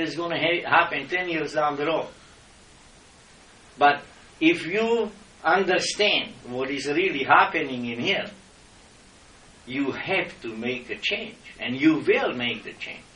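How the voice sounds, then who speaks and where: Middle-aged Czech-accented man, impassioned and lecturing, slightly high, recorded far off in an echoey room. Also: pushed and bright. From Czech Republic. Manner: impassioned, lecturing, non-native grammar. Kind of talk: heated argument with officials. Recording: echoey room, mic at a distance.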